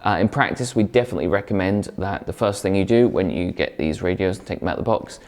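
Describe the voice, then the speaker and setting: Deep-voiced man presenting a product review. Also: fast, darkish tone. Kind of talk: semi-scripted product review to camera.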